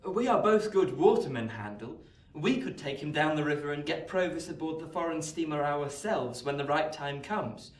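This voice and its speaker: An actor playing an eager, practical young gentleman and loyal friend in radio play dialogue, speaking confidently. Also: fairly high pitch, lively intonation, moderate pace, slight background noise, natural voice. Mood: eager planning. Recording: echoey room, distant from mic.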